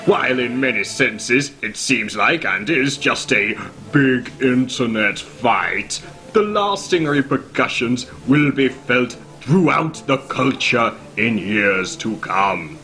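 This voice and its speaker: A man's voice: medium pitch, lively intonation, slow pace, bright, forceful tone. A man giving a solemn dramatic reading of a manifesto, over choral music. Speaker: reader. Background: dramatic orchestral choral music.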